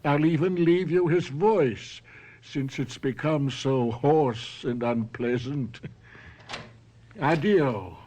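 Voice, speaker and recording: An actor playing a vengeful, taunting body-stealing professor living in a ventriloquist's dummy, in a radio drama. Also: male voice, mid-range voice, a little mellow. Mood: gloating, cold. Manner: taunting, theatrical, slow and deliberate.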